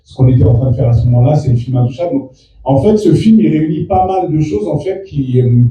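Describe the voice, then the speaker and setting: A man, a native Fula speaker, answering press questions as an actor in a halting way with many restarts. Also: a little high, expressive, mellow, lo-fi sound. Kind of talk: press interview. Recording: echoey room from far off.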